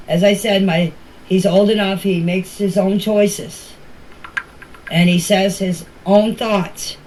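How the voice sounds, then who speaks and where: A middle-aged or older woman speaks at an average pitch in an informal voice message. She is plain-spoken and emphatic, circling back to repeat her points as she gives her own account. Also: darkish tone, low-quality recording. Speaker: family member giving her account. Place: voice clips recorded for a group chat.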